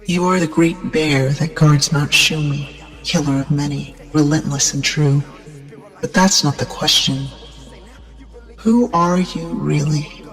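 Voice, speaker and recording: A solemn, slow-speaking shrine guardian. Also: female voice, sits low, steady tone, faint music behind. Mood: stern, probing. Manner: solemn, grandiose, incantatory. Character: imperious masked female shrine guardian, solemn and zealous. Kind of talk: video game cutscene acting.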